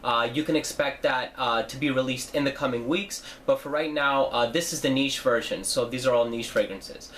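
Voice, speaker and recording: A man's voice: medium pitch, normal speed, hardly any pauses, fairly bright tone, slight background noise. A young man with a stuffy nose from a cold, hosting a casual fragrance review channel and talking in a chatty way with many fillers. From New Jersey. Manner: chatty, with frequent fillers and self-corrections.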